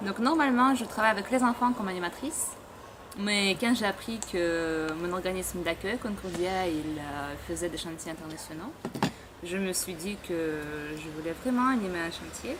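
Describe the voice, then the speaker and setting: A woman's voice: on the low side, moderate pace. Young russian woman chatting, lots of ums and little laughs, noisy outdoor background. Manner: hesitant, many fillers, laughs often. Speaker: volunteer camp leader. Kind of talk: casual chat to camera. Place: outdoor boat workshop.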